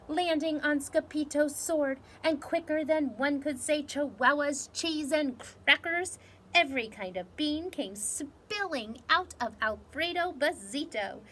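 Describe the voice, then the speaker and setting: A woman reading a children's story aloud in an animated, dramatic way. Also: sits high, slight background noise, rough recording. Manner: animated, dramatic delivery with measured phrasing. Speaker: storybook reader.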